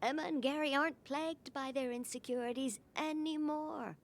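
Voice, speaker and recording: A woman voicing a kind old woman who looks after orphaned children, speaking gently and reassuringly. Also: sits high, normal speed, a little hiss or hum. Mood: gentle. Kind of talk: game voice acting.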